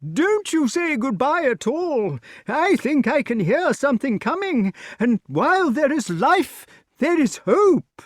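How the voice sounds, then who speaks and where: A man voicing an excitable, optimistic old-fashioned storybook king with a whooping, exclamatory delivery. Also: very high-pitched, slow pace, dry recording, studio quality, pitched above the performer's own voice, exaggerated voice. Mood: hopeful, urgent.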